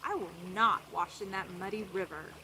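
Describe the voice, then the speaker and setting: A proud, stubborn army captain from a children's storybook, voiced by a woman storyteller. The manner is indignant and petulant, with clear noise in the background. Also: mid-range voice, normal speed, natural voice. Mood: indignant, sulky.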